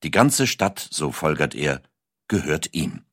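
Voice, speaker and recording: Male narrator, deep register, dry studio sound. Scripted documentary storytelling, measured and deliberate. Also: sing-song, dry recording.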